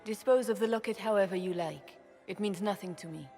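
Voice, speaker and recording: Fantasy game empress actress: mid-pitched female voice, stately, formal, proud and guarded, music clearly behind. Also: brisk, darkish tone, close to an everyday voice. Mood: regretful, restrained. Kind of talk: fantasy game cutscene acting.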